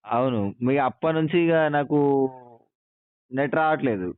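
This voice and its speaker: A man's voice: mid-range voice, normal speed, smooth, no room sound. A man with a Telangana Telugu accent calling a helpline as a customer, making a casual, plaintive and insistent complaint. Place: on a phone line. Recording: tinny, narrow phone call recording.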